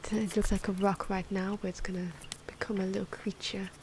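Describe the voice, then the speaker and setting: A soft-spoken woman giving ASMR drawing commentary, often slipping into a breathy whisper, with hesitant, unhurried phrasing. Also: mid-range voice, little pitch movement. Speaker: ASMR video creator narrating own drawing. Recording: noisy, pencil scratching and ambient sound. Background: pencil and pen noises, outdoor wind.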